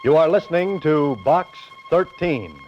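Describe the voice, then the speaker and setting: A man's voice: fairly high pitch, lively intonation, a little slow. A man announcing a 1940s radio show in a formal, declamatory style. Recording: muffled vintage radio recording, loud theme music.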